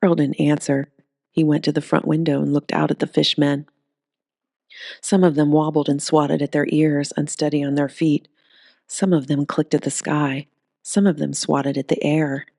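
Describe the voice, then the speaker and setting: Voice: medium pitch, level tone, moderate pace, vocal fry, darkish tone, no echo, studio quality. An audiobook narrator reading a scripted story.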